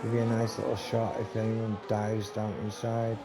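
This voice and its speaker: A man giving live commentary on a sim race, talking to viewers and losing his voice, with race car engines loud behind him. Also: normal speed. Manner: excitable, talks to viewers, rising to high bursts on action. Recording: noisy stream with engine sound. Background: race car engine noise.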